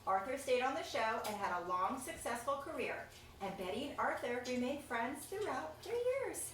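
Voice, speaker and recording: Echoey, lively woman reading a picture book. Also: high-pitched, normal speed. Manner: expressive, chatty, pointing out pictures. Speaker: reader of a children's picture book. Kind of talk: read-aloud storytelling with casual asides. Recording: echoey room, distant mic, faint noise.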